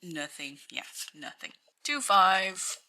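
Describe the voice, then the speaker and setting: A woman with a croaky morning voice, casually talking to herself while unboxing at a tabletop and reading out numbers. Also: on the low side, expressive, pushed and bright, a little hiss or hum. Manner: slow, musing, reads out weights. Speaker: channel host opening card packs. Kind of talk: casual hands-only unboxing commentary. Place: indoors at a tabletop.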